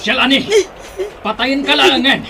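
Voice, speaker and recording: Casting: threatening Ilocano gunman, high, sharp, taunting radio drama villain. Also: steady tone, unhurried, vocal fry, full character voice. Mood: menacing, mocking.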